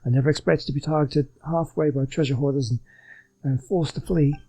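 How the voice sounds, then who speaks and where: A man's voice: a little high, steady tone, a little fast, mellow, faint music behind, low-quality recording. A man voicing an earnest, polite reformed swindler from a video game, reading the dialogue in a wordy, scholarly way.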